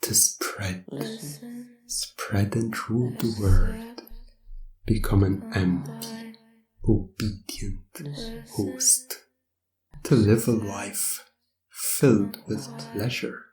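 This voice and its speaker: A woman performing hypnosis ASMR in a breathy half-whisper, giving scripted suggestions in a repetitive, commanding, hypnotic manner. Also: rumbling low, roomy sound, far from the mic, rough recording.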